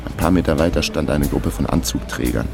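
Laconic fixer telling his case, super low creaky voice, music behind it. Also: brisk. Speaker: narrator and protagonist. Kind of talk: first-person radio crime drama narration.

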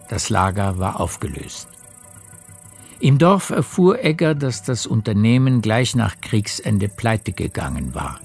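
A man narrating a literary radio play as a measured storyteller. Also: medium pitch, creaky.

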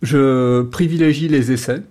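A man's voice: mid-range voice, fairly even intonation, a little slow, dark tone. A man, a journalist, answering interview questions on the radio with frequent hesitations. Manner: hesitant, many fillers. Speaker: journalist guest.

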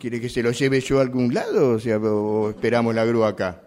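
An actor playing a flustered, evasive driver in a radio theatre exercise, stammering and repeating himself. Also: male voice, medium pitch, a little fast, darkish tone, close to an everyday voice. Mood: indignant, flustered. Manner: stammering, repetitive, hesitant. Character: flustered, evasive male driver pleading with police. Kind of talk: improvised radio theatre monologue.

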